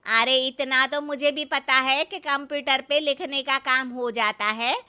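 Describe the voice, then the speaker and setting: A woman's voice: high-pitched, steady tone, measured pace, pushed and bright. A woman playing a brash, naive older village mother-in-law in a comic cartoon story, scolding and literal-minded in a folksy way. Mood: naive, confident.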